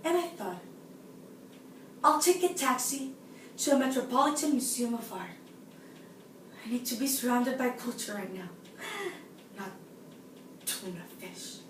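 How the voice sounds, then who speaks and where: A seventeen-year-old woman from Nicaragua playing a frustrated, self-absorbed, unhinged woman in a dramatic, confiding stage monologue that swings between hushed and shouted, recorded in a roomy space at a distance. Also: on the high side, a little slow, pauses often, natural voice. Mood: distraught. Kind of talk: theatrical audition-style stage monologue. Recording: roomy, distant mic, faint noise.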